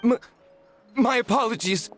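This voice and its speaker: A man's voice: extremely high pitch, expressive, measured pace, a bit bright, soft background music, heavily acted. A man voicing a humble tribesman in an anime game, earnest, polite and self-deprecating. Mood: nervous, apologetic. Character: humble, earnest tribesman from a lapsed shaman family.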